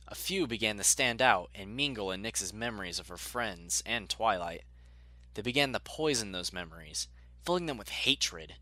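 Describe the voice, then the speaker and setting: A man gives a dramatic, scripted audiobook narration. His voice is fairly low, with a bright, forceful edge. The sound is dry, with no room to it. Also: no room sound.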